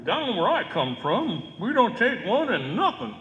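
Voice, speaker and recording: High-pitched, boastful Texas oilman. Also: very animated, measured pace, a bit bright, a little hiss or hum, exaggerated voice. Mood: grandly boastful. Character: boisterous, boastful, rich Texan oilman, radio comedy character. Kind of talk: old-time radio comedy acting. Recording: echoey hall, live stage performance.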